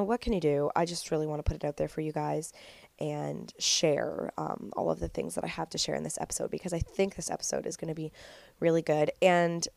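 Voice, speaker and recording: A woman's voice: fairly low pitch, gravelly, darkish tone, dry recording. A young woman in her twenties hosting a casual solo podcast, stuffed up and nasal with a cold, chatty and upbeat with lots of "like". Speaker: podcast host.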